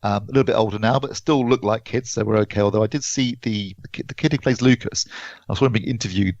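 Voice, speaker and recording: A man reviews in a casual, conversational way. His voice sits low with a gravelly fry. The sound is dry and a little lo-fi. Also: fast, darkish tone, no echo. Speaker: podcast reviewer.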